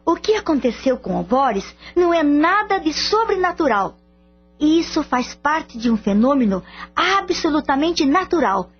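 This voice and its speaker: A woman's voice: sits high, sing-song, moderate pace, slight background noise. An actress playing an earnest, caring girlfriend in a radio drama. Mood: earnest explaining. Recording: dull lo-fi radio drama with music.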